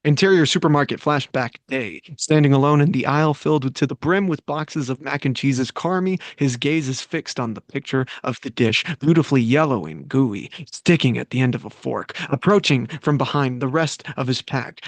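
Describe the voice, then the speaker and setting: A man who wrote the script, reading its stage directions aloud in a plain, brisk manner. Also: medium pitch, dry recording.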